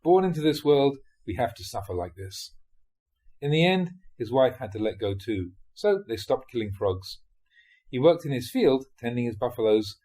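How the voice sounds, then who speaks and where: A man reads a Buddhist teaching story aloud as a storyteller would. His mid-pitched voice moves around a lot, giving the narration a lively, animated delivery. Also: moderate pace. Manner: animated storyteller, acts out dialogue, often chuckles. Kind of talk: audiobook reading of dharma talk storytelling.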